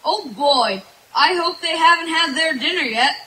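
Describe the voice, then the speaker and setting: An eager young boy sidekick played by an adult actor in an old-time cartoon radio show. The voice is high and clear-edged, full of pluck. Light noise sits behind the outdoor recording. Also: lively intonation, unhurried, sharp tone, heavily acted, rough recording. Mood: curious, cheerful. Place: outdoor stage in bamboo woods. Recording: live outdoor stage, background noise.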